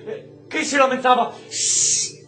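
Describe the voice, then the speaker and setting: A man's voice: very high-pitched, steady tone, measured pace, pushed and bright, heavily acted. An actor playing a frightened Afghan male prisoner, giving theatrical testimony of his capture. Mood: terrified, agitated. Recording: echoey stage room with faint music.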